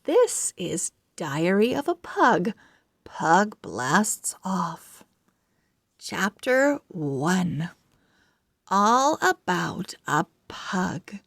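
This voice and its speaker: A woman hosting a children's read-aloud, speaking in an expressive, deliberate storybook style. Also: on the low side, gravelly, no room sound, studio quality.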